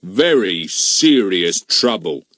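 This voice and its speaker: A man voicing a pompous, blustering village policeman who talks bossily in rough everyday grammar. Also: sits low, sing-song, slow, a bit bright, no echo, heavily acted. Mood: irate.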